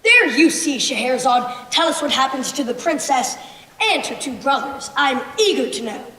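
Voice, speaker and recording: A woman's voice: high voice, sing-song, moderate pace, pushed and bright, heavily acted. A young female performer playing an imperious, impatient sultan on stage, with commanding, declamatory delivery. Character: imperious, impatient male sultan listening to tales. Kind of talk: stage play acting. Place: school stage play in a large hall. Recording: echoey hall, faint noise.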